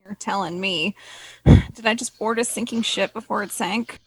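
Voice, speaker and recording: A woman's voice: medium pitch, steady tone, moderate pace, slightly rough, rough recording. A woman playing an earnest, ambitious young newcomer to radio, acted naturally in a comedy drama. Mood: wry.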